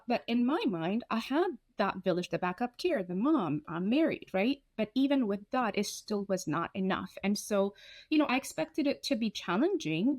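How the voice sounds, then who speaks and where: A woman in her thirties from Ethiopia, telling her own story in an interview, candid and animated, often acting out what people said. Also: medium pitch, no echo. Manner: frank, animated, acts out quoted dialogue. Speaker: guest.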